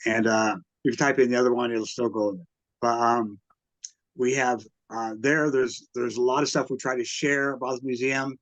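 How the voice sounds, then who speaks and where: A man's voice: medium pitch, fairly even intonation, moderate pace, a bit bright, no echo, low-quality recording. A man talking casually as an interview guest, explaining things in a rambling, conversational way. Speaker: museum founder and curator, interview guest.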